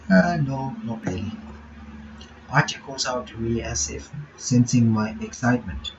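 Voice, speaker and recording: A man casually reading a visual novel aloud and commenting as he goes, thinking aloud in a halting way. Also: mid-range voice, lively intonation, distant mic, highs cut off, a little hiss or hum. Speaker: game reaction streamer.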